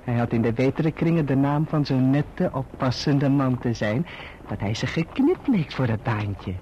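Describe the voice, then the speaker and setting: An actor playing a falsely humble, scheming young clerk in a radio drama, wheedling and obsequious. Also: male voice, medium pitch, lively intonation, normal speed, warm tone, close to an everyday voice. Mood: servile, insinuating. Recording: dull, noisy old radio recording.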